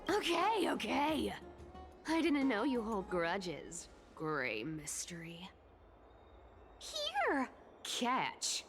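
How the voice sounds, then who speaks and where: Sly, swooping, playful game demigoddess. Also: female voice, fairly high pitch, huge pitch swings, fairly bright tone, exaggerated voice. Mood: sly, pleased. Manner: teasing, flippant, drawling then quick. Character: sly, playful, money-minded young demigod ferrywoman. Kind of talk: video game voice acting. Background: game music.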